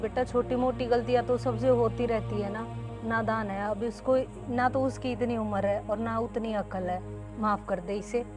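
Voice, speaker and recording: A soft-hearted old mother, played by a woman, pleading gently in a family drama. Her voice sits a little high. Clear music plays behind her throughout. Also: steady tone, moderate pace, lightly acted. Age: older. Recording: background music under the voice.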